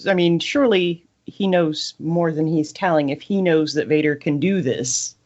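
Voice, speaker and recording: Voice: mid-range voice, normal speed, darkish tone. Slightly muffled, conversational podcast voice. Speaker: podcast panelist. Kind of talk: casual fan discussion.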